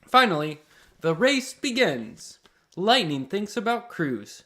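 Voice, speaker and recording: A man's voice: sits high, big pitch swings, slow pace, fairly bright tone. A man hosting read-aloud storytelling for children, reading expressively in simple phrases and chatting with listeners. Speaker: host reading children's picture books aloud.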